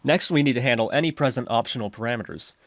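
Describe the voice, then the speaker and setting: A man's voice: medium pitch, quick pace, dry recording. A man narrating a step-by-step screencast tutorial, explaining his reasoning as he goes. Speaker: programming tutorial host.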